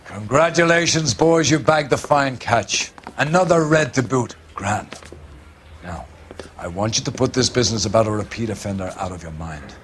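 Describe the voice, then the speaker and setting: An actor playing a hearty, hard-nosed police captain with grandiose, emphatic phrasing in a crime game. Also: male voice, medium pitch, expressive, normal speed, fairly bright tone, slight background noise, close to an everyday voice. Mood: pleased, ruthless. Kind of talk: dramatic video game acting.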